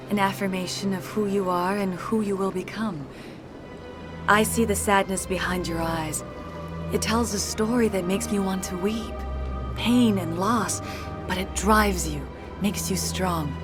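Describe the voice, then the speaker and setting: A serene mystical elder woman, voiced by an actress, speaking calmly and formally. Her speech is solemn and unhurried. Game music plays behind her. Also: medium pitch, close to an everyday voice.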